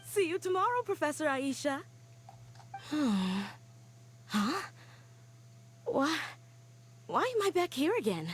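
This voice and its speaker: A woman voicing an earnest young woman scholar in a game, telling her story quietly and sighing often. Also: high voice, big pitch swings, slow, pauses often, full character voice. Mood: wistful. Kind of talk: game cutscene voice acting.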